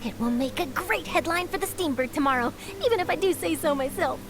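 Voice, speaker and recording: A woman voicing an excited female news reporter who gushes and sounds pleased with herself. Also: sits high, a little fast. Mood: delighted.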